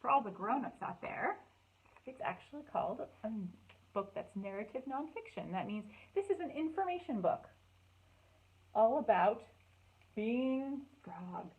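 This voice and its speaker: Lady doing storytime for little kids, takes it really slow, encouraging and animated, reads out then chats. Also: fairly high pitch, breathy edge, narrow, tinny audio. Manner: animated, encouraging, speaking simply to young children. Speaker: children's librarian and storytime host. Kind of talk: children's storytime read-aloud with asides.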